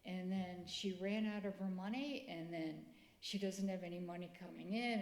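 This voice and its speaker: A middle-aged woman, speaking softly in a church presentation. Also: medium pitch, creaky. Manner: soft-spoken, quiet voice. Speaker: ministry speaker. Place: church sanctuary. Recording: echoey church room.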